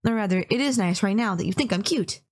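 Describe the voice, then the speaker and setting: A woman's voice: mid-range voice, fast talker, close to an everyday voice. A woman playing a sweet, polite young yandere who is lovestruck and bashful, in a romantic audio roleplay, earnestly rambling to the listener with small stammers. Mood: bashful, flattered.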